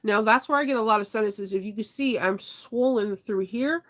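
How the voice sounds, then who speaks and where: A woman's voice: medium pitch, normal speed, fairly bright tone. Woman, congested, nasal stuffiness, casual vlog chat, frequent laughter, rambling asides. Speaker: vlogger talking to viewers.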